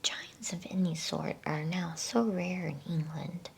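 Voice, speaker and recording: A woman reading a bedtime story as ASMR in a soft, hushed, unhurried whisper. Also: fairly low pitch, vocal fry, slight background noise.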